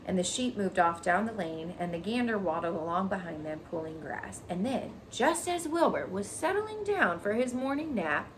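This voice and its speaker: A woman's voice: medium pitch, big pitch swings, normal speed, few pauses, rough recording. A woman teacher reading a children's story expressively, with casual explanations. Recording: noticeable background noise.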